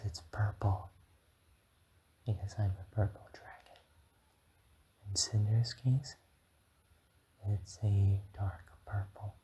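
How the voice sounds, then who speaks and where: A man voicing a gentle, patient dragon mentor in a slow, whispered and soft-spoken ASMR guided meditation. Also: on the low side, slight background noise, poor audio. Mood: calm, explaining. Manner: slow, soothing, drifting between whisper and murmur.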